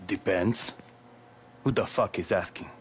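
A man's voice: deep voice, measured pace. An actor playing a defiant, wary, foul-mouthed man under attack in a video game. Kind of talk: video game drama acting. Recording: loud gunfire noise behind.

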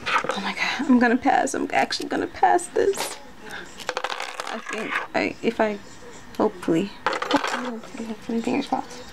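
A woman's voice: on the high side, lively intonation, normal speed, vocal fry, long pauses, noisy background. A woman doing an ASMR roleplay as a flustered student, muttering hesitantly to herself, repeating words and often slipping into whispers. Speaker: ASMR roleplayer acting as an unprepared student taking a quiz.

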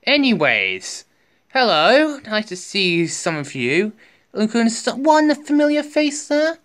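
A woman's voice: on the high side, very animated, slow pace, a bit bright, exaggerated voice. A woman voicing a bossy, threatening toy race car gang boss in an amateur dub, with a commanding manner. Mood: mocking welcome.

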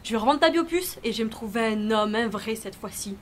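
A woman's voice: a little high, animated, a little fast, a little hiss or hum, natural voice. An actress playing a bossy, menacing young female bodyguard in an audio drama, blunt and scolding. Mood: furious.

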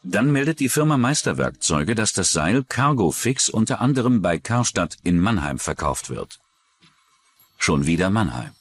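A man's voice: lowish voice, big pitch swings, normal speed, husky. A man narrating a true-crime documentary in a dramatic scripted style.

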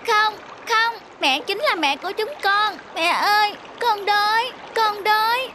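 A woman voicing a small, innocent young salmon child, clingy and pleading, in an animated fairy tale. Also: extremely high pitch, a little slow, noisy background.

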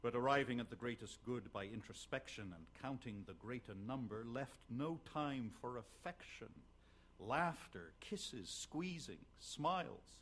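A man's voice: medium pitch, animated, unhurried, a bit bright. A middle-aged man playing a rueful scholarly wise man in an articulate, intense verse reading. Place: concert stage in a radio performance hall. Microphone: close studio mic on boom.

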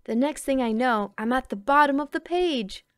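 A woman reading a children's picture book aloud with expressive, deliberate phrasing. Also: sits high, smooth, dry recording, clean studio sound. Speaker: storybook reader.

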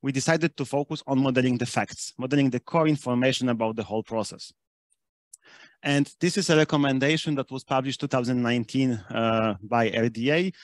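Male conference presenter, medium pitch, explanatory technical delivery, dry recording with little room sound. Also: fairly even intonation, a little fast, dry recording. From Austria (based there).

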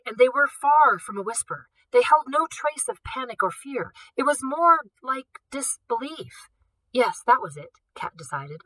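A woman's voice: mid-range voice, breathy edge, no room sound, recorded from a distance, low-quality recording. A woman, a teacher, reading a novel aloud, lifting her voice for the characters' lines. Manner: shifts up and louder for dialogue.

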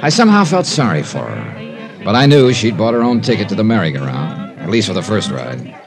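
An actor playing a wry, hard-boiled insurance investigator with a dry delivery in a 1950s radio crime drama. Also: male voice, on the low side, wildly swooping pitch, brisk. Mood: dry, tense. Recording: old 1950s radio recording with music.

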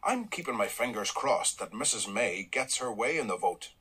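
An actor playing a blunt, wry middle-aged dairy farmer with a Northern Irish accent, in a radio drama. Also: male voice, on the low side, lively intonation, moderate pace, sharp tone, husky, full character voice. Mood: wry, stubborn.

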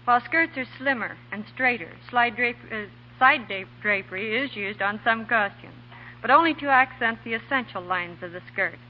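A woman's voice: on the high side, moderate pace. A woman presenting as a fashion editor on 1940s radio, reading a script formally and precisely. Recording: muffled, noisy 1940 radio broadcast.

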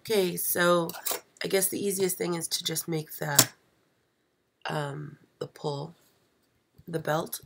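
This is a woman hosting a live craft stream while losing her voice. She speaks slowly and a bit low, with a gravelly fry. She hesitates often and fills the pauses with ums. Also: level tone, background noise. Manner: slow, hesitant, many fillers, soft. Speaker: craft channel host. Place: craft table indoors. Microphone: overhead camera, hands only.

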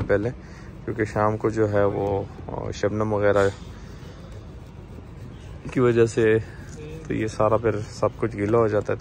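Young man, low gravelly voice, casual vlog narration, outdoor rooftop, phone recording, traffic noise behind. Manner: relaxed, explains while asking questions. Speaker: farm channel host.

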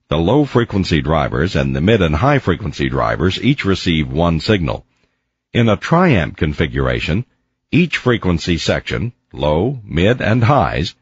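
A man reading a scripted educational narration in a measured, precise, didactic way. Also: low-pitched, very animated, husky, dry recording, dull audio. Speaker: instructional video narrator. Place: close, dry voice-over recording.

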